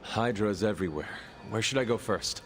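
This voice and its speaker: A man's voice: on the low side, moderate pace, a bit bright, long reverb tail, faint music behind, natural voice. An actor playing an earnest, resolute young male warrior hero in a video game. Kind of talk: video game cutscene acting.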